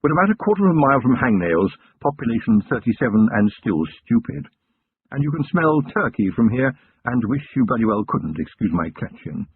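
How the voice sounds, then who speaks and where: A man's voice: medium pitch, sing-song, a little fast, slight background noise. A man voicing a dry, formal male manservant who drives a coach, speaking wryly in an audiobook. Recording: tinny lo-fi narration recording.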